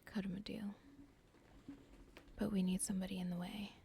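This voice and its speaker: A woman's voice: medium pitch, little pitch movement, moderate pace, vocal fry, dark tone, slight background noise, close to an everyday voice. A woman voicing a calculating female cartel boss in an ASMR roleplay, whispering breathily and plotting aloud. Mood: scheming whisper.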